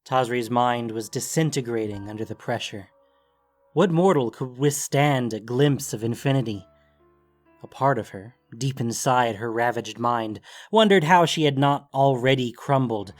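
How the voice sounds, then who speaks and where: A man narrating a scripted fantasy story in a solemn, dramatic way with deliberate phrasing. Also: mid-range voice. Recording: music bed under the voice. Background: background music.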